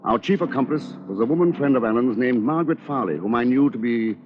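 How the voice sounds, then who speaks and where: An actor playing a scheming, precise lawyer in an old radio drama, with coolly confiding delivery. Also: male voice, mid-range voice, expressive, fast talker, slight background noise, natural voice. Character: scheming, coolly calculating male lawyer narrating his crime. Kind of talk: 1940s radio drama acting, first-person narration. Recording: dull, lo-fi old radio recording.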